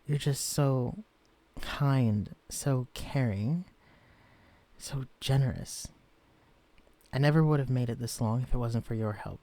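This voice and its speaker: Male actor as a shrunken romantic lead, guarded yet tender, earnest and soft-spoken, for a listener-directed audio drama; ordinary mid-pitched male voice. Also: takes it slowly, long pauses. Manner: earnest, vulnerable, softly confessional. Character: shrunken man, tender but guarded, easily shaken.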